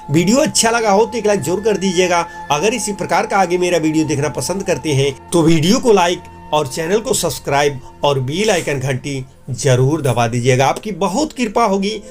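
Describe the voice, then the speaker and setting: A man narrating a children's cartoon moral story in an earnest, explanatory way. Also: on the high side.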